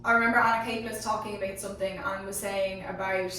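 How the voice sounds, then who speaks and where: A woman's voice: mid-range voice, level tone, quick pace, pushed and bright. A woman chatting casually as a panellist in a sports discussion. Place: echoey indoor room. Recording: very echoey room, distant mic, faint noise.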